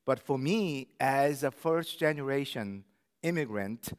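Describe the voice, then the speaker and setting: A man in his sixties with a Korean accent preaches from a church pulpit. He speaks slowly and earnestly in a smooth, resonant voice, and his words carry a long hall reverb. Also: mid-range voice, lively intonation. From South Korea. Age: 60s. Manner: slow, careful, earnest delivery. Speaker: pastor. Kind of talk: sermon. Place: church sanctuary.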